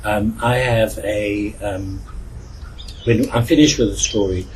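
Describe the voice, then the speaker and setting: A South African man talks earnestly about his storytelling in an interview. He is halting, repeats words for emphasis, and sounds distant from the mic amid clear noise. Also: lowish voice, steady tone, a little mellow. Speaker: volunteer children's storyteller. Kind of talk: reflective interview with animated storytelling. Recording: noisy, distant microphone.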